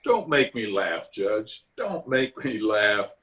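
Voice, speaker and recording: Pompous middle-aged male town bigwig, acted by a man, theatrical radio comedy, slow and very animated, roomy sound. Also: on the low side, exaggerated voice. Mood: scornful, boastful. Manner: blustering, theatrical. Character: blustering, boastful middle-aged town official with a chuckle. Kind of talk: old-time radio comedy acting. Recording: roomy video-call recording.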